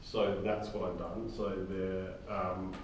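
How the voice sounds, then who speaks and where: Low-voiced man in a very echoey room. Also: flat delivery. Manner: halting, often tags sentences with "okay?". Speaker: director and writer leading a drama table read. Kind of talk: informal briefing to a group of actors. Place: reverberant indoor room, recorded from far off. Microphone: distant room microphone. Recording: very echoey room, distant mic, faint noise.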